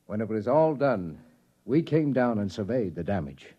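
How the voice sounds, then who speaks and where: A man's voice: lowish voice, very animated, moderate pace, mellow, husky. An actor playing a wry spaceship crewman who narrates a grim expedition in a dry 1950s radio drama style. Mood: urgent. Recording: dull old radio recording with music.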